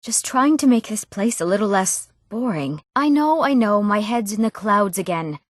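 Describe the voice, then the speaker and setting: An actress playing an eager, earnest young townswoman in a fantasy video game, speaking her scripted lines expressively and clearly. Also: mid-range voice, animated, moderate pace, no echo, studio quality, close to an everyday voice. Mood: deflated.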